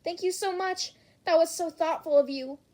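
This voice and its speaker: A woman's voice: extremely high pitch, normal speed, a little mellow, pitched above the performer's own voice. A woman voicing a polite, earnest teenage boy superhero in training for an audiobook. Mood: bright, earnest. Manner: courteous, earnest.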